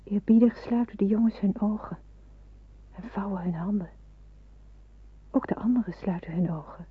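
Radio play role: reflective young fugitive, very husky and breathy, hushed delivery, narrow phone-like sound. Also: female voice, medium pitch, moderate pace, no echo. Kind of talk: radio drama acting with first-person narration. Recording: muffled, phone-like old radio recording.

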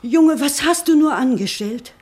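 A woman's voice: high voice, expressive, measured pace, darkish tone. An actress playing a worried middle-aged mother in a radio drama, pleading anxiously with her son. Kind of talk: 1950s-style radio drama acting.

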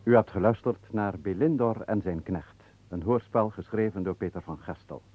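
A man's voice: lowish voice, normal speed, warm tone. A man announcing in a formal, scripted manner as a radio presenter.

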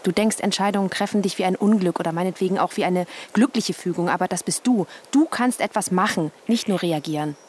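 An actress playing a frank, reproachful wife, speaking pointed, naturalistic radio drama dialogue. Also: female voice, fairly low pitch, fairly quick, hardly any pauses, darkish tone, a little hiss or hum, close to an everyday voice.

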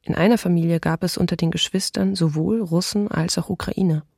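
A sombre female eyewitness in a radio play. Her voice barely rises or falls, with a creaky edge, as she gives measured, factual testimony in a dry recording. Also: on the low side, steady tone, darkish tone, no echo. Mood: sombre, restrained. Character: sombre female eyewitness of wartime occupation recalling events. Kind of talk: restrained documentary radio play monologue.

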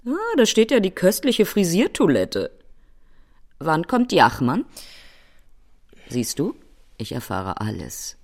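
An actress playing a bitter, domineering older mother-in-law in a radio drama, sneering and theatrical, with a husky voice. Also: fairly low pitch, huge pitch swings, slow, long pauses, darkish tone, full character voice. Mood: cold scorn. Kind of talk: German radio drama acting.

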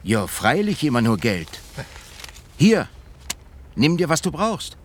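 A brash young man in a radio play, an impatient grown son. His pitch swings in a lively way as he presses and pleads, with faint noise behind him. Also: slow. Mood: eager, exasperated. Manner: urgent, pleading, insistent. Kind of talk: naturalistic radio drama acting.

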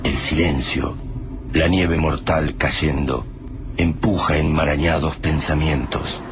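An actor narrating a radio drama as a grave, literary storyteller with an Argentine accent. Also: male voice, very deep voice, little pitch movement, takes it slowly. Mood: grave, ominous. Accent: Argentine Spanish. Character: grave storyteller of a doomed survival tale. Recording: noisy background ambience.

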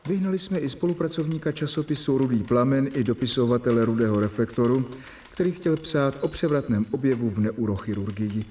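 An actor playing a dry, ironic, educated doctor and assistant in a Czech radio drama, formal and measured in his wording. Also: medium pitch, mellow, recorded from a distance, a little hiss or hum, natural voice. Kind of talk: Czech radio drama acting, narrating a journal.

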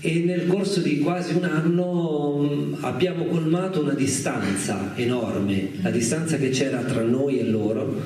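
A man's voice: on the high side, measured pace, few pauses, mellow. A man giving an informal, unscripted talk as a guest filmmaker, hesitant and reflective as he searches for words. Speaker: guest speaker, documentary filmmaker. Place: echoing indoor live radio event. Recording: echoey room, distant mic, faint noise.

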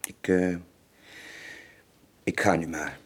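A husky, low-voiced weary sailor. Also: male voice, fairly even intonation, slow pace, heavily acted. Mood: resigned. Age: late 40s. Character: weary lonely middle-aged sailor, gentle but evasive. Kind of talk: radio drama acting.

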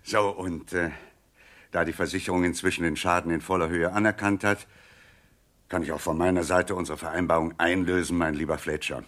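An actor playing a smug, courteous crooked banker, speaking in a suave, formal manner in a 1970s radio crime comedy. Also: male voice, low voice, fairly even intonation, a little fast, fairly bright tone. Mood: smugly pleased. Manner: suave, formal, self-satisfied.

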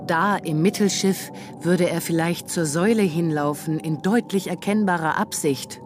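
An actress narrating as an observer in a lyrical literary radio drama, describing things in vivid detail. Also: female voice, lowish voice, moderate pace, faint music behind, lightly acted. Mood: observant, lyrical.

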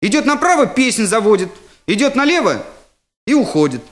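A man's voice: high voice, very animated, normal speed, pitched above the performer's own voice. A man voicing a cheeky schoolboy in a comic story, drawing out his words with over-eager, feigned innocence. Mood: excited. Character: stalling, cheeky fifth-grade schoolboy bluffing through a recited poem.

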